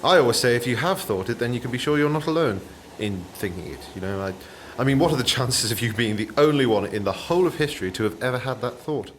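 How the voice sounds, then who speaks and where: A man's voice: mid-range voice, fast talker, hardly any pauses, a bit bright, a little hiss or hum, close to an everyday voice. An actor playing a courteous, slightly awkward and kindly man on a train in a radio play, tentative and reassuring. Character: polite, awkward, kindly man, fellow train passenger and writer.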